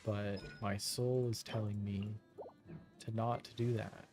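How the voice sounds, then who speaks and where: A man's voice: on the low side, level tone, measured pace, music in the background. A man giving casual, rambling live commentary while streaming a game, muttering to himself.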